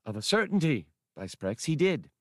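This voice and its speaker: A man's voice: a little high, very animated, moderate pace, no room sound, clean studio sound, pitched above the performer's own voice. A man voicing a proud, effusive female alien mistress of ceremonies with formal, theatrical speech in an audiobook. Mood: careful, deferential.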